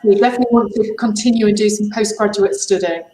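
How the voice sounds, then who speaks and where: A woman's voice: mid-range voice, fast, warm tone, echoey room, low-quality recording. A woman hosting a casual interview, asking upbeat questions that often trip over their own wording. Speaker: host.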